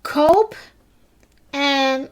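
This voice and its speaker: Woman, high voice, hesitant, lots of fillers, podcast recap host. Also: drawn-out words. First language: Dutch. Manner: hesitant, many fillers and restarts; simple non-native phrasing. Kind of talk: casual episode recap.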